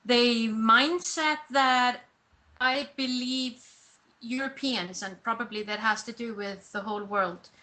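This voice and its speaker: A woman from Iceland, whose first language is Icelandic, answering interview questions as a personal branding coach, pausing and restarting as she thinks. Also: on the high side, bright, forceful tone. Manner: reflective, pauses and restarts mid-thought.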